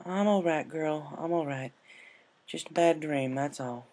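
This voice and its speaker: A man's voice: a little high, a little slow, darkish tone, pitched above the performer's own voice, natural voice. A man voicing a stubborn, caring cartoon farm pony, a mare with folksy country phrasing. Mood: uneasy, soft.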